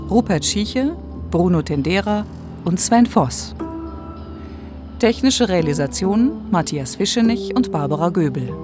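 Langsame, eher tiefe Ansagerin. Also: female voice, fairly low pitch. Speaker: announcer. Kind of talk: formal scripted radio drama credits announcement. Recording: clear music under the voice.

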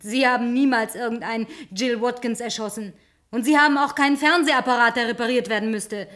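A woman's voice: a little high, fairly even intonation, brisk, a bit bright, natural voice. An actress playing a lonely woman survivor in a German radio drama, speaking politely but pointedly, with dramatic delivery. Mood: accusing.

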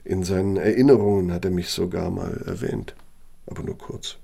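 An actor playing an older, proud and bitter architect in a radio play, self-justifying in a measured, self-righteous way. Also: male voice, low-pitched, flat delivery, gravelly, mellow, heavily acted. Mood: defensive, proud. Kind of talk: naturalistic radio play monologue and dialogue.

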